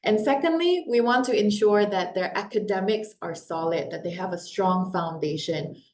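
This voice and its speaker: A woman's voice: mid-range voice, normal speed, darkish tone. A woman in her forties, born in Korea, hosting a live webinar with an upbeat, welcoming manner and frequent fillers like "um" and "uh". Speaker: webinar host and company founder. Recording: echoey room.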